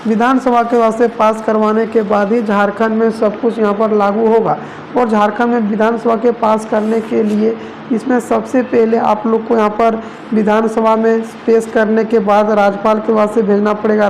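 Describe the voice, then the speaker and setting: News narrator, mid-pitched and very even in tone, wordy reporting style, noisy home-grade sound. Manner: wordy, repetitive phrasing. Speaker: news presenter for a regional online channel. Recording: noticeable background noise.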